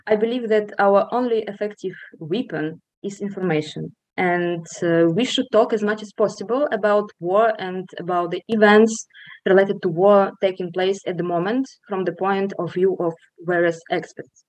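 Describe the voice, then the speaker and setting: A young woman from Russia, a guest on a podcast interview, speaking in an earnest, measured way with some fillers. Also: medium pitch, darkish tone, no echo, poor audio. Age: young adult.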